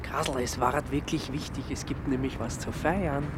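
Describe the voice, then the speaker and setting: An actor playing an awkward male petty offender on community service in a radio drama, halting, with an Austrian accent. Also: mid-range voice, fairly even intonation, noisy background, close to an everyday voice. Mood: insistent. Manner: hesitant, halting.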